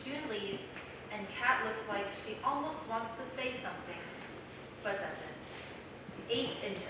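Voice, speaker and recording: A woman's voice: medium pitch, animated, takes it slowly. A woman narrates stage directions for a play reading in a mid-range voice. She is recorded from a distance in a strongly echoing theater. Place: small theater, recorded from the audience. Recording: distant, very echoey, loud noise, theater recording.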